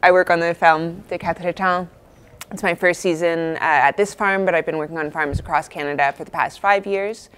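A woman's voice: on the low side, fairly even intonation, normal speed, a bit bright, a little hiss or hum. A young woman farm worker introducing herself hesitantly at a talk. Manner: hesitant, with many ums. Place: large indoor hall with audience.

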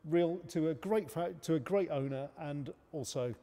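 A man's voice: on the high side, moderate pace, dark tone. A man interviewing a car owner in a showroom, enthusiastic and full of praise, often restarting his sentences. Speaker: interviewer, presenter. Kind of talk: casual interview. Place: large car showroom, indoors. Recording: echoey showroom hall.

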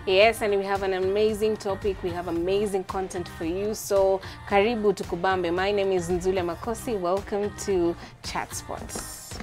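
Animated woman co-host. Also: mid-range voice, moderate pace, few pauses, soft background music. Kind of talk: casual studio chat.

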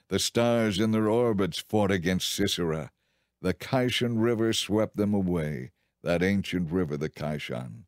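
A man reading as an audiobook narrator in a dramatized, solemn and measured scripted Bible narration. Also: on the low side, a little mellow, dry recording, studio quality.

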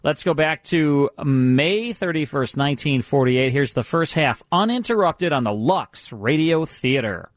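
A man's voice: on the high side, lively intonation, unhurried, few pauses, no room sound. Dry, close male radio co-host. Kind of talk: casual radio chat.

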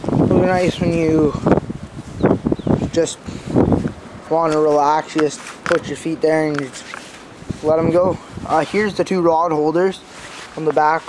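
A man giving a casual, unscripted walkthrough as an amateur reviewer, talking in a halting, informal way outdoors on a handheld phone. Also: on the high side, fairly even intonation. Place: outdoors in a backyard. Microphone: handheld phone camera. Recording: outdoor phone recording with clear background noise. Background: passing cars.

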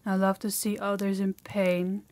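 A woman's voice: medium pitch, flat delivery, unhurried, darkish tone, close to an everyday voice. A woman voicing a harsh, dominant female demon in an intimate audio roleplay, with a haughty, commanding, taunting manner. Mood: seductive menace. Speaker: voice actor.

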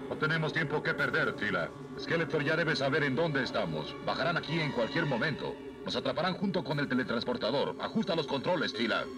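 An actor voicing a muscular, confident, wry young hero in a cartoon dub, assured, with a Latin American Spanish accent. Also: male voice, mid-range voice, animated, fairly quick, lightly acted. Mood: urgent, encouraging. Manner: heroic, assured. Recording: loud music under the voice.

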